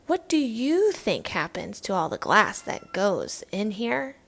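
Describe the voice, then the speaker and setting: A woman's voice: medium pitch, very animated, slow pace, gravelly, exaggerated voice. A woman voicing a patient, enthusiastic male schoolteacher in a children's storybook, explaining things emphatically. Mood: eager explaining.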